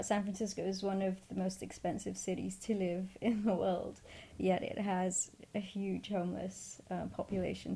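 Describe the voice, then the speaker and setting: A woman from Egypt with a middle-pitched voice talks as a guest in an interview. Her delivery is casual and conversational, a little hesitant as she feels for the right words. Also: warm tone.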